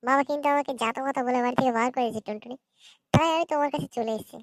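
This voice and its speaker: High-pitched cheeky cartoon boy. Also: fairly even intonation, very fast, no room sound. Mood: pleading, wheedling. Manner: brash, quarrelsome, whining. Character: cheeky, foul-mouthed, lovelorn young son in a comedy cartoon. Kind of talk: comedy cartoon dialogue.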